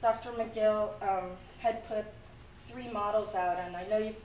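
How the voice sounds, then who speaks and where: A woman with a hoarse voice from a cold, giving a public comment at a town meeting from notes. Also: mid-range voice, fairly even intonation, darkish tone. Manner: reading from notes, brisk but halting. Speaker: school board candidate. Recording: echoey room from far off, faint noise.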